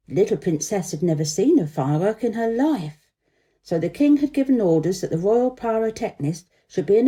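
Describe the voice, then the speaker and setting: A woman's voice: medium pitch, dark tone. A woman narrating a children's story expressively as a storyteller.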